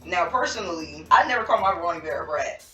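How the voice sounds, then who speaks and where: A woman's voice: lowish voice, animated, quick pace, sharp tone, soft background music. Woman as a confident dance mom, echoey, distant. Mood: disapproving. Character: confident, defiant dance mom leading the team. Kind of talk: amateur roleplay voice acting.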